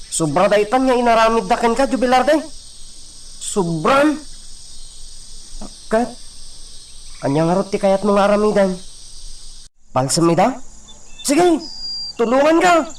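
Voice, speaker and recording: A loyal, protective friend in an Ilocano radio drama. The voice is high and full of concern, heard from a little distance over faint noise. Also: male voice. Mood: indignant, supportive. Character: concerned, loyal male friend sheltering a fugitive.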